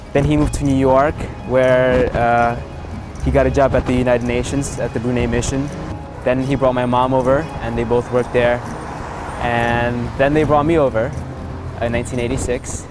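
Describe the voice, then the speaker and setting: A young man from Indonesia, a native Sundanese speaker, talks at an ordinary middle pitch. His story comes out unhurried and easygoing, with pauses on "uh" and "um". Clear music plays behind him. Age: 20s. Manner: relaxed, frequent filler pauses. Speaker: interviewee. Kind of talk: casual personal storytelling.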